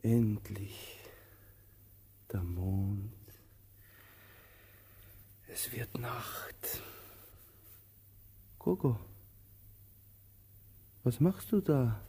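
An actor in a German radio play, playing an aging vagabond who is anxious and hopeful, with a husky voice and halting, theatrical delivery. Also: male voice, mid-range voice, big pitch swings, slight background noise. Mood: weary, subdued. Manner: halting questions, repeats himself, theatrical swings from urgent to hushed. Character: aging male vagabond, anxious, hopeful, caring for his companion.

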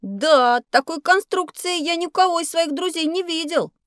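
A woman's voice: very high voice, normal speed, no room sound, studio quality. A woman playing an eager, cheeky, boastful schoolboy in a scripted children's educational audio drama. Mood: curious. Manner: lively, boyish, expressive delivery.

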